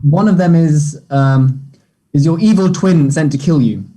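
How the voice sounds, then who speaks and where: A man acting a guarded, quietly kind teenage schoolboy in a table read, speaking in a terse, understated way. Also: fairly high pitch, unhurried, slight background noise, natural voice. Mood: warming, amused. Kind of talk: play table read, naturalistic dialogue.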